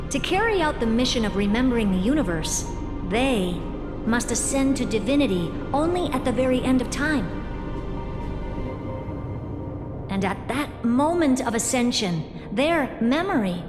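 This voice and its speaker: Aloof all-knowing game sage, female, deliberate delivery, long reverb, music clearly behind. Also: a little high. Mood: solemn, explanatory. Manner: measured, deliberate, cryptic. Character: aloof, all-knowing genius woman giving grave counsel. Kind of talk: dramatic video game voice acting.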